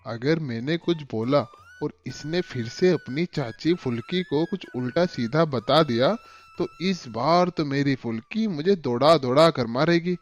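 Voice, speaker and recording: A man's voice: fairly high pitch, unhurried, dull audio, lightly acted. A man voicing a timid, henpecked elderly owl uncle in a children's cartoon, avuncular and fretful. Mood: nervous. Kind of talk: Hindi cartoon voice acting for children.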